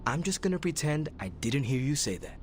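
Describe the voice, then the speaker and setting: Wry, chatty worrier hero. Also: male voice, on the high side, sing-song, fairly quick, background noise. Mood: wry, worried. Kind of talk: video game cutscene acting.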